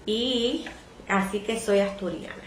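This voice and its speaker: A Puerto Rican woman in her sixties recalls her career as a librarian in a polite, measured, reflective way during an oral history interview. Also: mid-range voice, a little hiss or hum. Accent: Puerto Rican Spanish. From Puerto Rico. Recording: echoey room.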